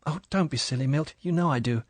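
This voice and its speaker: A man voicing a young, pretty English wife who talks in a soft, chatty, apologetic way. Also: on the high side, fast talker, dry recording, pitched above the performer's own voice, close to an everyday voice. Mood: eager.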